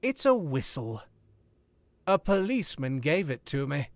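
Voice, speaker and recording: A suave, ironic author, as an actor plays him in an old-time radio thriller. He speaks slowly and coolly, with a lively voice that rises and falls. The mic is close and dry. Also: a little high, no room sound. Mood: coolly menacing. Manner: cool, measured, ironic. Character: suave, probing older male author. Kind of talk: 1940s radio acting.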